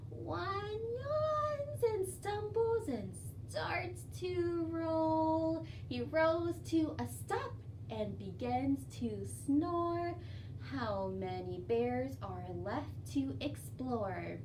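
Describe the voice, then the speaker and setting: A woman leading a children's storytime as a librarian, with an expressive, sing-song way of addressing young children. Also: very high voice, drawn-out words. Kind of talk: children's storytime with songs, rhymes and read-aloud. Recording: distant mic, faint background noise.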